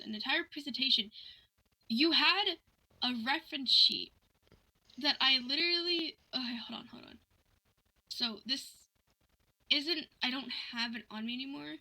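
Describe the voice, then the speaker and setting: Very bright, high-voiced young woman. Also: normal speed, low-quality recording. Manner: animated ranting, quoting conversations in dialogue. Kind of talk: casual storytelling chat.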